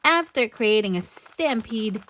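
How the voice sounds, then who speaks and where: A woman's voice: on the high side, expressive, a little slow, fairly bright tone, slight background noise. A woman hosting a children's read-aloud, narrating expressively and addressing young listeners directly. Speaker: storytime host and narrator.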